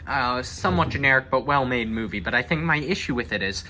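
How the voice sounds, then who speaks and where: Guy hosting a chatty movie talk, bright forward voice, halting delivery, bit of background noise. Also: mid-range voice, lively intonation, rough recording. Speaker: host. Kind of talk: casual film discussion.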